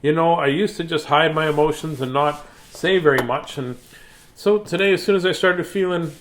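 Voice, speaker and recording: A man's voice: fairly high pitch, normal speed, fairly bright tone, a little hiss or hum. A man, chatting casually and reading to viewers as a bedtime storyteller in a silly, playful manner. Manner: silly, playful, with singsong scatting. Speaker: children's bedtime story reader.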